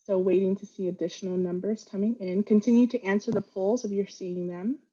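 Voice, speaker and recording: A woman's voice: medium pitch, fairly even intonation, a little fast, mellow, slightly rough, highs cut off. Earnest woman presenting a training webinar. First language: Vietnamese. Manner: earnest, talks with frequent fillers and restarts.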